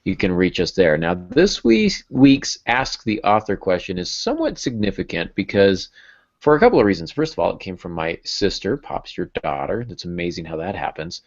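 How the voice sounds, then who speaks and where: A man's voice: sits low, very animated, normal speed. A man in his thirties hosting a casual podcast, with a dryly self-deprecating way of talking. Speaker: podcast host. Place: small home room with bookshelves. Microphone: earbud headset on a video call. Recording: dull video-call audio.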